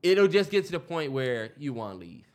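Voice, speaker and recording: American guy on a podcast as cohost, voice a bit on the higher side, loose slangy chatting. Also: big pitch swings, normal speed, darkish tone. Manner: slangy, informal. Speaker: podcast co-host. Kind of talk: casual chat.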